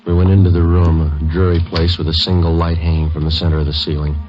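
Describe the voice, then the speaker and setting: Male actor as terse police detective, very deep voice, dry and factual, vintage radio crime drama, muffled sound. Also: fast, natural voice. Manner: clipped, deadpan, matter-of-fact. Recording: dull old radio recording, music behind.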